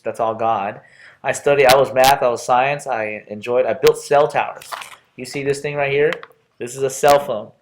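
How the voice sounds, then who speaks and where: A middle-aged man from Texas with an American accent gives a playful, chatty, informal self-introduction as a college professor, often stopping and restarting. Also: mid-range voice. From Texas, United States. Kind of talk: informal self-introduction lecture for an online class.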